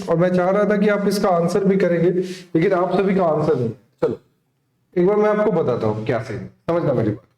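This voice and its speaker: A man's voice: high-pitched, a little mellow. A man with an Indian accent teaching an exam-prep math lesson, working through problems and often checking that students follow. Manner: instructive, checks understanding with frequent short questions. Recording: very echoey room.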